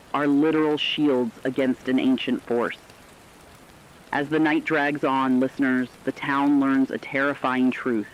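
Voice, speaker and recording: A man's voice: medium pitch, slight background noise, natural voice. Dull-sounding, foreboding man as radio reporter. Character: stern radio reporter warning listeners of doom. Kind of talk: scripted horror radio news narration.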